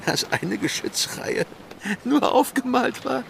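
An actor playing a languid, opium-dazed pirate captain in an audio drama, drawling in a teasing, careless way. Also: male voice, very high voice, animated, slow pace, vocal fry, fairly bright tone, a little hiss or hum, exaggerated voice. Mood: dazed, vague.

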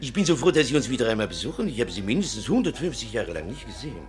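A man's voice: mid-range voice, very animated, racing, soft background music, lightly acted. An actor playing an effusive, fatherly French restaurant owner with a French accent, in theatrical 1950s radio drama style. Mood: effusive welcome. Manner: effusive, theatrical, varying tempo.

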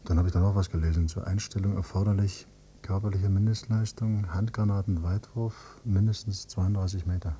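A male actor playing a grown son, a teacher, who questions and narrates in a measured, probing way in a German radio drama. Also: deep voice, fairly even intonation, mellow, slight background noise, lightly acted. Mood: sober, ironic. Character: accusing grown son, a teacher probing his father's past.

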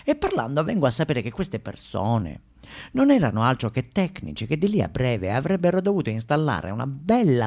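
A man's voice: mid-range voice, creaky, a little mellow. A male actor plays an aggrieved Sicilian man in a stage monologue. He tells his tale with florid, wry drama and acts out noises and dialogue as he goes. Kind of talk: theatrical monologue, storytelling on stage.

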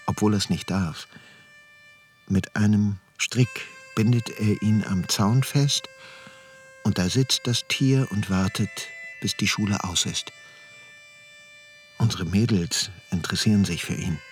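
A man plays a laconic narrator in a radio drama, recalling youth in a dry, understated way. The voice is low, with prominent music underneath. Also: lightly acted. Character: male narrator looking back on his schooldays.

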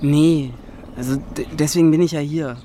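An actor playing a halting, defensive but stubborn young man in a naturalistic radio drama. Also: fairly high pitch, fairly even intonation, warm tone, a little hiss or hum, natural voice. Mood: hesitant. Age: young adult. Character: hesitant, stubborn young man about to leave town.

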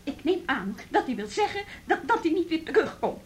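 Casting: proud, wounded young woman, high voice, halting and indignant, radio drama with clear background noise. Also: sing-song. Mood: defiant, exasperated. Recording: noisy radio play recording.

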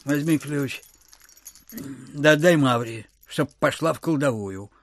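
An actor playing a miserly, suspicious old landowner in an audio drama, muttering and repeating his words in a querulous, husky voice. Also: on the high side, a little slow, slight background noise. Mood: scolding, bossy. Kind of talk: Russian audio drama acting.

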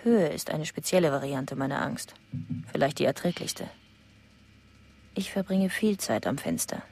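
An actress playing a lonely, fearful wife who recites observations in a detached, clipped radio drama monologue. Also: female voice, animated, moderate pace, a little mellow, exaggerated voice. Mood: haunted, detached. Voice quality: low, creaky. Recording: music behind the voice.

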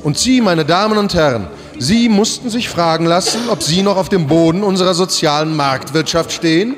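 Solemn high-voiced male bank president played by an actor. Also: moderate pace, a crowd in the background, close to an everyday voice. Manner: oratorical, stately, addresses audience formally. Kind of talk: formal ceremonial speech in radio drama.

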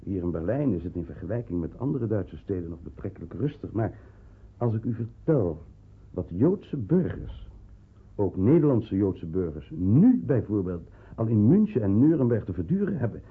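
A muffled, phone-like old radio play recording of a consulate official. His voice is low and slightly husky. He is courteous and formal, delivering grave warnings with measured emphasis. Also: sing-song, full character voice. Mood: grave, insistent. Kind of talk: radio drama acting.

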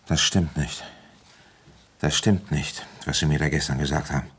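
A man's voice: rumbling low, steady tone, moderate pace, breathy edge. An actor in a German radio drama playing an avuncular, ambitious police commissioner in his fifties who has a feverish cold and talks bluntly and coaxingly. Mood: confronting. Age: middle-aged. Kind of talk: German radio drama acting and first-person narration.

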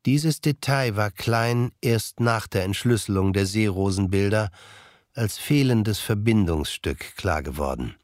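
A man's voice: lowish voice, expressive, unhurried, dry recording, clean studio sound. A man narrating an audio drama from a script. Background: music.

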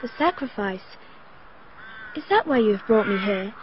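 A woman's voice: medium pitch, animated, moderate pace, slight background noise, natural voice. An actress playing a dreamy, naive young girl in a radio drama, speaking in a wistful, childlike way. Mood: dreamy, wondering. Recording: dull lo-fi broadcast recording.

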